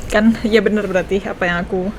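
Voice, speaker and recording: A young Indonesian woman with an Indonesian accent, chatting excitedly while reacting to a game. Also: medium pitch, fast, background noise. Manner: excitable, chatty, laughs often. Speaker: gaming streamer. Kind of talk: casual let's-play reaction. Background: game music.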